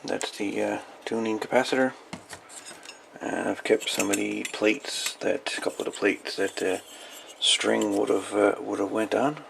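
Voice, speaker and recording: A man with a very gravelly, fry-heavy voice talks casually as a hobbyist showing things off. His delivery is folksy and halting, wandering as he goes. It is a home recording with faint noise behind him. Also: lowish voice, steady tone, fairly bright tone. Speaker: radio hobbyist presenting salvaged parts. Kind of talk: casual hands-on show-and-tell.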